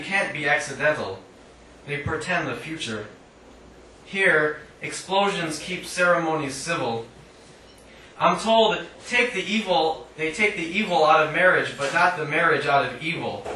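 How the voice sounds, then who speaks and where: Male poet, live reading, wry delivery, slightly high voice, recorded at a distance with strong room echo. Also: expressive, a little slow, sharp tone. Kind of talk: live poetry reading with casual asides. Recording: roomy, distant mic, faint noise.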